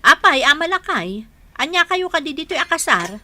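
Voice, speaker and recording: Bright, high-pitched, animated Ilocano chatterbox. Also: female voice, moderate pace, exaggerated voice. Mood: grumbling, nosy. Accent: Ilocano Filipino. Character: chatty, boastful woman friend angling to be bridesmaid. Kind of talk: Ilocano radio drama acting.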